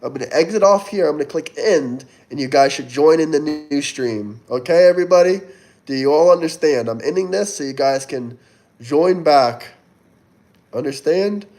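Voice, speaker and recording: Brief: twenties male streamer, somewhat high voice, chatty, keeps urging viewers to like and tip. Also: animated, normal speed, a little mellow. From Florida, US. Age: 20s. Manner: reads out and answers viewer chat, urging likes and tips. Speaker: livestream host. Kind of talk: casual livestream chat.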